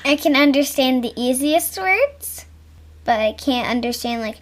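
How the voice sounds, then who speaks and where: Young daughter guest, high voice, slow and halting. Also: female voice, lively intonation. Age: young, child. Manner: hesitant, searching for words. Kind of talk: candid conversation with her mother.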